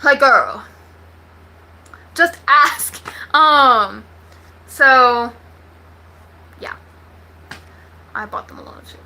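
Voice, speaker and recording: A woman's voice: sits high, very slow, pauses often, bright, forceful tone. A woman from Texas with an American accent, chatting casually to livestream viewers in a blunt, slangy, sweary way. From Texas, United States. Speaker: livestream host.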